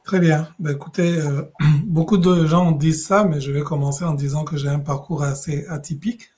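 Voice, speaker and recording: A middle-aged man from West Africa, speaking as a podcast guest. His voice sits a little high for a man but has a warm, mellow tone. He tells his story in a measured, reflective way and often pauses and restarts his sentences. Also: fairly even intonation. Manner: measured, thoughtful storytelling with frequent hesitations and restarts. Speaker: guest, regional director. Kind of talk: conversational podcast interview.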